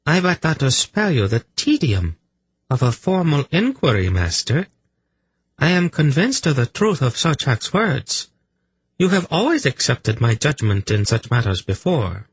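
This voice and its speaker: A scheming fantasy priestess played by a man with a throaty, husky purr. The voice is lifted well above the narrator's own, smug, imperious and full of menace. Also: medium pitch, big pitch swings, a little slow, dry recording, pitched above the performer's own voice, low-quality recording. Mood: eager persuasion. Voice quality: throaty, almost purring.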